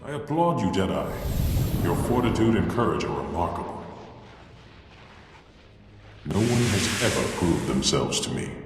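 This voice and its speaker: A menacing Sith lord with a very low, slow voice. He is regal and condescending, booming through a heavily echoing space with music behind him in a game cutscene. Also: big pitch swings. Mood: cold admiration. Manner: slow, grandly measured, condescending. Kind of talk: video game cutscene acting. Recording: very echoey, long reverb, music behind.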